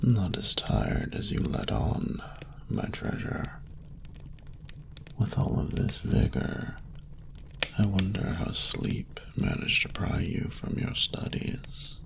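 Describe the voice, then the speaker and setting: Very deep, gravelly, seductive dragon. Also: male voice, very animated, slight background noise, heavily acted. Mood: teasing, fond. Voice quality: very deep, breathy, gravelly murmur. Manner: slow, formal, archaic, tender phrasing. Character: ancient male dragon ruler, courtly, seductive, doting. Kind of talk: intimate romantic audio roleplay, ASMR.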